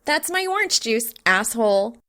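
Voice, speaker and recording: A woman's voice: on the high side, big pitch swings, normal speed, bright, forceful tone, voice pushed up. A woman voicing a hot-tempered, easily flustered young female college student in a story reading. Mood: sweetly scolding. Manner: hissing, sputtering, shrieking when frustrated.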